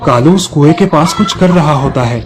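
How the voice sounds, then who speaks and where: Casting: male narrator for children's moral tales, fairly high voice, music bed. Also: normal speed. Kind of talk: scripted children's story narration.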